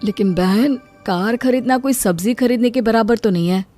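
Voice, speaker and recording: A woman's voice: fairly high pitch, normal speed, warm tone, soft background music, natural voice. A woman voicing a meek, dutiful poor brother with a mild, placating manner in a cartoon story.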